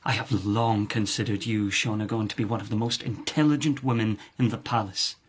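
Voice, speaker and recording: Husky, calculating palace lord. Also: male voice, lowish voice, fairly even intonation. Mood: persuasive. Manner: formal, measured, oratorical. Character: calculating, authoritative palace lord, smooth political schemer. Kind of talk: radio drama acting.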